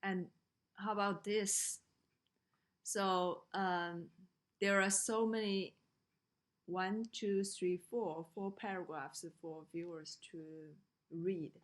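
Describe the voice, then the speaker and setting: A woman from China with a Chinese accent giving a slide lecture, speaking haltingly with frequent hesitations and checking in with short tag questions. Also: medium pitch, fairly even intonation. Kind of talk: slide lecture on design usability.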